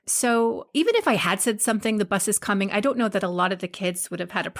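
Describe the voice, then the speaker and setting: A middle-aged woman from Hawaii, a guest on a podcast, telling candid stories about her life and often laughing as she talks. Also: mid-range voice. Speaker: podcast guest, spiritual teacher. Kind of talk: casual interview conversation.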